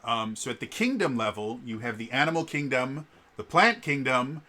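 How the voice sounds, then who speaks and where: A man's voice: mid-range voice, lively intonation, moderate pace, sharp tone. A man talks casually as a vlogger and game master, explaining things in a digressive, storytelling way. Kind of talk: casual rambling storytelling vlog.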